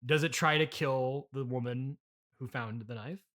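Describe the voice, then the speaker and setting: A man hosting a comedic interview, halting and apologetic, talking in a bemused, conversational way. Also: medium pitch, fairly bright tone. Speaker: podcast interviewer.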